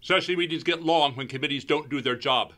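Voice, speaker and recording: Man playing officious high-voiced referee. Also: a little fast, heavy background noise, natural voice. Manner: clipped, formal announcing. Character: officious male session referee making rule calls. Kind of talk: scripted training film, referee-style calls.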